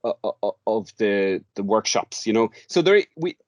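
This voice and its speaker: A man's voice: lowish voice, sing-song, normal speed, no room sound, clean studio sound, soft background music. A man explaining his work in an informal interview, often restarting and repeating words as he goes. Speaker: drama workshop facilitator, interview guest.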